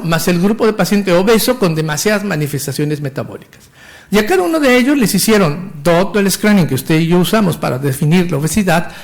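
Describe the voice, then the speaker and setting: A man in his fifties with a Mexican Spanish accent lectures on medicine in a high, bright voice. He talks eagerly and technically, and sometimes stumbles and restarts a word before going on. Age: 50s. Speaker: physician lecturer.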